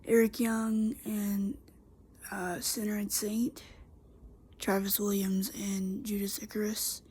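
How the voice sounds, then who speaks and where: A woman's voice: mid-range voice, flat delivery, takes it slowly, a little mellow, husky. A woman vlogger with a congested voice, recapping a wrestling show in a chatty, rambling way with many fillers and restarts. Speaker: YouTube wrestling reviewer. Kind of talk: casual solo show recap.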